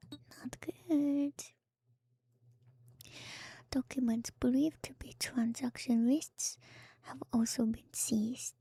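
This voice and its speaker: A woman streamer speaking in a soft, breathy ASMR whisper, reading aloud and adding casual, musing comments. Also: fairly high pitch. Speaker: streamer and host. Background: music.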